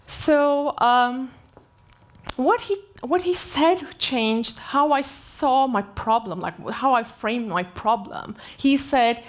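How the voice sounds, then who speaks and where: A woman in her forties, from Turkey, speaks from a conference stage in an unhurried, halting way. She leans on fillers and restarts sentences often. Her voice sits a little high for a woman. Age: 40s. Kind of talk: personal story keynote.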